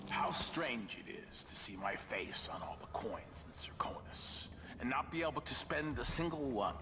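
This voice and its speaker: An actor playing a shrewd male body double of a duke in a video game, speaking in a measured, wry, theatrical way. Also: medium pitch, big pitch swings, in a whisper, long reverb tail, natural voice. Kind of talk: video game cutscene acting.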